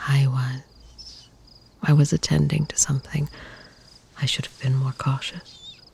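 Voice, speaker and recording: A woman playing a protective vampire lover in an ASMR roleplay, soft and breathy, often whispering, with formal, measured wording. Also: very deep voice, level tone, a little hiss or hum, full character voice. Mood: concerned, tender. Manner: slow, soothing, doting, formal wording.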